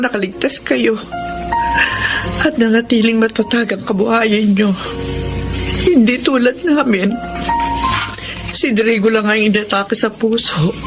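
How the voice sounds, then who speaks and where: Troubled woman, music underneath. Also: fairly high pitch. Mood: grateful, downcast. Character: worried woman humbled by family money troubles. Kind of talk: Tagalog radio drama acting.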